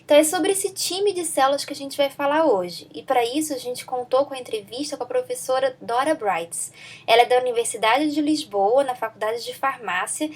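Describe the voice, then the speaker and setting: A Brazilian woman with a Brazilian Portuguese accent hosting a podcast, friendly and peppering her speech with tag questions. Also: high-pitched. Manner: friendly, lots of "né" tags. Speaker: podcast host. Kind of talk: podcast intro and interview.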